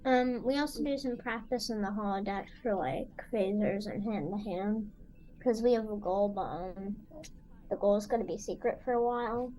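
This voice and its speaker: A woman's voice: mid-range voice, moderate pace, a crowd in the background, low-quality recording. A woman chatting casually and a little tentatively as a player in a tabletop roleplaying game. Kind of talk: casual chat.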